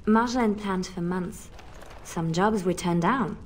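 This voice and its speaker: A woman playing an anxious but hopeful young bard spy in a fantasy video game, speaking gently and earnestly. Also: on the low side, measured pace, a little hiss or hum, close to an everyday voice. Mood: earnest, pressing. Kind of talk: video game cutscene acting.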